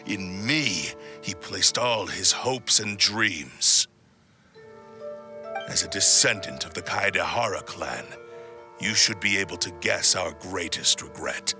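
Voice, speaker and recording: A man voicing the proud, bitter and menacing spirit of an ancient cursed blade in a video game, brooding and formal. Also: fairly low pitch. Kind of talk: video game cutscene acting. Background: game music.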